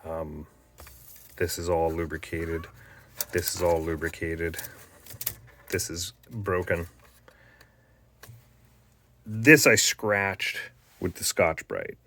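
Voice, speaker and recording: Deep-voiced canadian guy, slow and rambly, lots of ums, chatting through a hobby repair. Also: fairly even intonation, bright, forceful tone, a little hiss or hum. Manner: rambling, halting, lots of fillers. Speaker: hobbyist restoring a tape machine. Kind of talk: casual show-and-tell.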